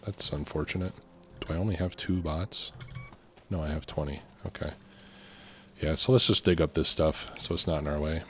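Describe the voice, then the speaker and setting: A man's voice: rumbling low, normal speed, a bit bright, slight background noise. A man with a slightly congested voice from a lingering cold, hosting a casual let's play and thinking aloud hesitantly. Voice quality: slightly stuffy, congested nose. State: getting over a cold. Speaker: gaming video host.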